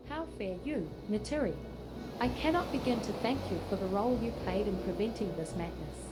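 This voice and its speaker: A woman's voice: medium pitch, lively intonation, brisk, over music, lightly acted. A synthetic-sounding woman voicing a formal, dutiful dockyard officer in a fantasy game, with stately archaic phrasing. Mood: formal, composed. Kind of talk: scripted fantasy game dialogue read by text-to-speech.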